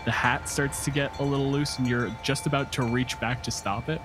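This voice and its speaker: A man's voice: medium pitch, steady tone, fairly quick, noisy background. A man running a tabletop roleplaying game, narrating informally as game master. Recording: music behind. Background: background music.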